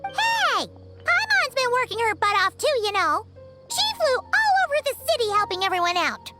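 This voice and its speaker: A woman voicing a tiny, cheerful floating fairy companion in an anime-style game, squeaky and childlike, excitable in manner. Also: moderate pace, loud music behind the voice, full character voice. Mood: indignant. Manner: excitable, speaks of herself in third person.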